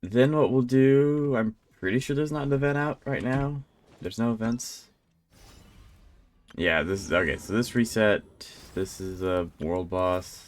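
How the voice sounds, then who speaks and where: A man's voice: on the low side, measured pace. A man giving casual live gameplay commentary as a streamer, rambling and thinking aloud. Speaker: game streamer.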